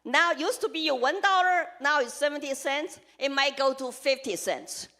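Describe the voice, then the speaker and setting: A woman with a Chinese accent speaks to a live audience as a congressional candidate. Her voice sits high, and she delivers her points earnestly in short, emphatic bursts. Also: animated, moderate pace, pushed and bright. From China. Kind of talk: campaign speech to a live audience.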